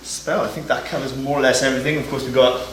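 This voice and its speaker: A man giving an informal, explanatory demonstration as a Foley artist, pausing as he shows each item. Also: mid-range voice, fairly even intonation. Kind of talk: informal demonstration of sound-effect props. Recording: echoey, distant mic, loud noise and clicks.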